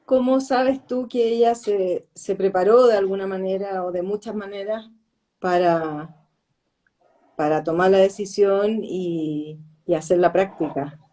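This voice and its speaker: Mujer chilena de voz suave y oscura. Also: female voice, mid-range voice, measured pace, mellow. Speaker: interviewer, co-host. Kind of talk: conversational interviewing.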